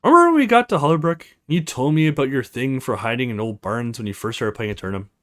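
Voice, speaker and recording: A man's voice: medium pitch, lively intonation, fast talker, a bit bright, close to an everyday voice. A man voicing an earnest, protective young male hero in a visual novel game. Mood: worried.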